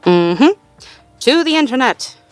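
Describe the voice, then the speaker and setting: A woman playing a supportive, playful girlfriend in an intimate one-sided roleplay audio, talking gently and encouragingly with light teasing and natural hesitations. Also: high voice, very animated, takes it slowly, faint music behind, exaggerated voice. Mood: playful, amused. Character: supportive, playful girlfriend helping partner problem-solve.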